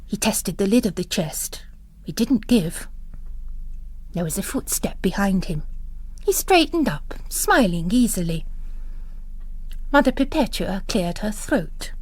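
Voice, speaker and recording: A woman reading literary fiction as narrator, in a measured, expressive storytelling delivery. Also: medium pitch, husky. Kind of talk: dramatised audiobook storytelling.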